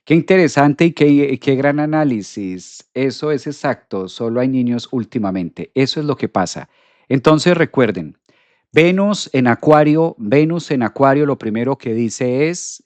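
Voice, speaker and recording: A man's voice: medium pitch, no room sound. A man hosting a live astrology stream, talking animatedly to viewers, repeating phrases and reading out their comments. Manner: animated, repeats phrases, addresses audience directly.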